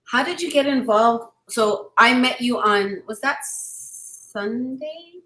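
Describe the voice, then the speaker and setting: A woman's voice: a little high, slow, bright, forceful tone, echoey room, poor audio. A woman hosting a podcast interview, asking questions in a casual, chatty way. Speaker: podcast host.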